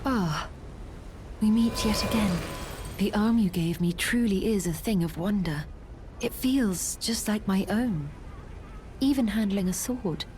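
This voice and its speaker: An actress playing an earnest, stoic young swordswoman in a fantasy game, formal and measured, with breathy phrase endings. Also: medium pitch, a little mellow, a little hiss or hum, lightly acted. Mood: earnest, resolute. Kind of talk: dramatic fantasy game acting.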